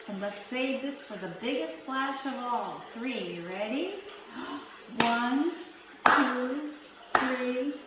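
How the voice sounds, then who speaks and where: A woman with a high, lively voice reads a storybook to little children. She takes her time, drawing each line out in a gentle sing-song, and her voice rings in a roomy space at home. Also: heavy background noise. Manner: slow, gentle, sing-song read-aloud delivery. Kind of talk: reading a picture book aloud to young children.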